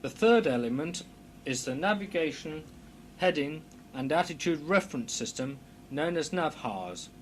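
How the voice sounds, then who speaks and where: Formal male training-film narrator, noisy hum. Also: lively intonation. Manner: formal, measured, precise explanatory delivery. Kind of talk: scripted instructional narration. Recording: clear background noise with low hum.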